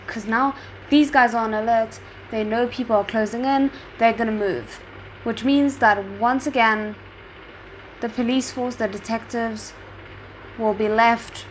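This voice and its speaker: Female reaction host, higher-pitched voice, off-the-cuff commentary on a show, noticeably noisy recording. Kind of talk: spontaneous reaction commentary on a drama series. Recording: clearly noisy background.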